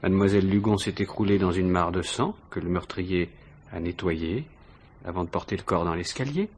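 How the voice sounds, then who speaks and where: An actor playing a probing, ironic police inspector in a 1950s-style French radio mystery, falsely casual and insistent, with hesitant, insinuating questions. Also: male voice, sits low, a little fast, darkish tone, slight background noise, close to an everyday voice. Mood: measured, accusing.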